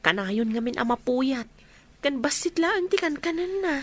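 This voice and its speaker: A woman's voice: sits high, animated, normal speed. An actress playing a sympathetic, inquisitive village woman in an Ilocano radio drama, with an Ilocano accent.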